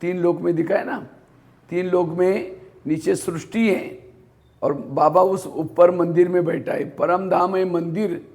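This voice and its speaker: A man's voice: high-pitched, steady tone, unhurried, a little mellow. A man giving a spiritual class, telling anecdotes and asking rhetorical questions that he answers himself. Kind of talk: Hindi spiritual discourse.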